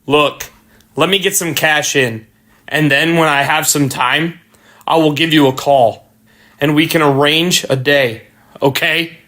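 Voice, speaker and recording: A man's voice: fairly high pitch, steady tone, a little slow, bright, forceful tone. A man voicing an earnest, reassuring male hero in a placating, emphatic manner within a story reading.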